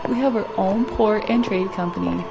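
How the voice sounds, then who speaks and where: Actress as young female tour guide, medium pitch, creaky, chipper, wry, radio drama, heavy music behind. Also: normal speed, lightly acted. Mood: rehearsed tour patter. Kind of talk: sci-fi radio drama acting.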